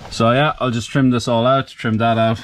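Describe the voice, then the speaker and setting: A man casually talking the viewer through his renovation work indoors, matter-of-fact and relaxed. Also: medium pitch, moderate pace, a little hiss or hum. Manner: relaxed, matter-of-fact, talks to camera while working. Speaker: DIY renovator. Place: indoors, farmhouse under renovation.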